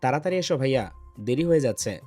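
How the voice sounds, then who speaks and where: A man's voice: mid-range voice, animated, fast, dark tone, close to an everyday voice. An actor playing a domineering young man in love in an audio love story, intense and commanding, both threatening and tender. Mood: haughty. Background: background music.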